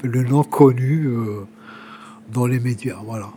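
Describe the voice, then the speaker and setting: A man's voice: mid-range voice, slow pace, dark tone, slight background noise. A man gives a personal testimony in informal, conversational language, repeating words as he searches for the next. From Algeria, Kabyle. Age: 60s. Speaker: interviewee, exiled journalist living homeless.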